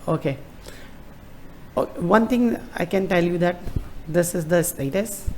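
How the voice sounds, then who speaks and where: A man giving a screen-recorded walkthrough of a form, hesitant and halting with many fillers and restarts. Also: high-pitched, a little mellow, slight background noise. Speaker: tutorial presenter.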